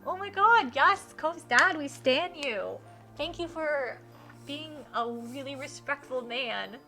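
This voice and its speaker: Woman game streamer, higher-pitched, bright, expressive, reading story text aloud, faint music behind. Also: slow. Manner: enthusiastic, expressive. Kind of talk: reading a visual novel aloud with excited reactions.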